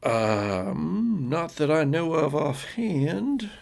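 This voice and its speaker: A man with a gravelly voice narrating a tabletop role-playing game as game master, in an informal, halting, conversational way. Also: fairly high pitch, big pitch swings, darkish tone. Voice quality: gravelly, creaky.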